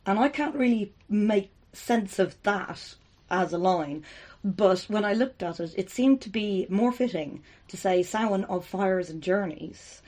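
A woman's voice: mid-range voice, animated, measured pace, darkish tone. A woman in a casual chat, explaining old stories thoughtfully with fillers. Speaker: podcast co-host. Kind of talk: casual chat retelling myths.

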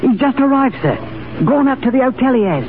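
An actor playing an excitable, loyal, deferential servant-soldier with eager working-class phrasing, in 1930s radio drama. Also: male voice, very high voice, fast talker. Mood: anxious, urgent. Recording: tinny 1930s radio broadcast, crowd behind.

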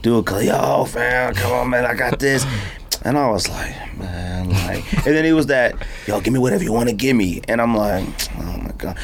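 A man chatting casually and animatedly in slangy, informal talk, quick to laugh. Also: medium pitch, lively intonation, a little hiss or hum. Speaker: podcast host interviewing a guest. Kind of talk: casual chat, slangy storytelling.

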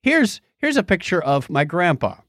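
A man's voice: high voice, big pitch swings, moderate pace, a bit bright, no room sound, studio quality. A middle-aged man co-hosting a podcast, chatting casually and recounting personal anecdotes. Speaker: podcast co-host.

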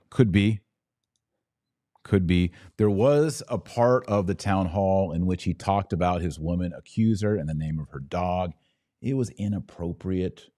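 An American man with a deep, dark-toned voice talks like a podcast host on a live show. He is conversational and opinionated, reading out comments and answering with emphatic repeats. The sound is close and dry, with very little room. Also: dry recording.

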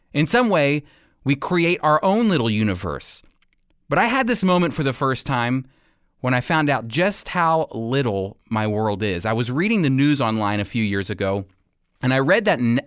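An American man hosts a radio Bible program with earnest, emphatic phrasing. His mid-pitched voice rises and falls widely as he teaches. The recording is close and dry. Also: fairly bright tone, clean tone, dry recording.

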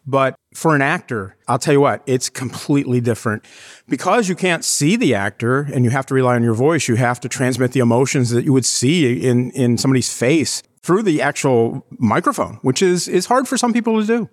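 A former stage actor telling animated stories in an interview. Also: male voice, mid-range voice, a little fast, dry recording, studio quality. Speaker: guest, former theatre actor. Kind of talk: casual interview answers.

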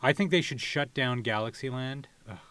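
A man's voice: medium pitch, moderate pace, a bit bright. A man hosting a podcast in a casual, conversational way. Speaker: podcast host. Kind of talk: casual interview and opinion rant.

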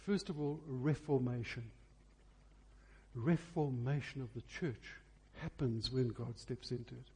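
A male preacher delivers a sermon in a dark, mellow voice with a husky grain. His pitch sits in the middle range. He phrases emphatically and deliberately, repeating key words to drive them home.

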